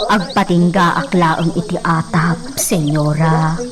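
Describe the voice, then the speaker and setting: A woman's voice: fairly low pitch, fairly even intonation, takes it slowly, crowd noise. A woman with an Ilocano accent playing a meek, guarded hacienda servant in a radio drama, deferential and hesitant. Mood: guarded, reticent. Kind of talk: slow-paced radio drama acting. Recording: distant mic, clearly noisy background.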